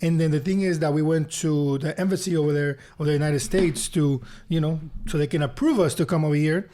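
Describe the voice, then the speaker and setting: A man talks through his story as a podcast guest, earnest and relaxed. His voice sits a little higher than most men's. He circles back and repeats phrases to press a point home. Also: brisk. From Honduras. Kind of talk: casual storytelling interview.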